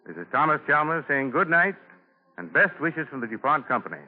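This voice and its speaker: Formal 1940s radio announcer, tinny sound. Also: male voice, a little high, very animated, brisk, very noisy.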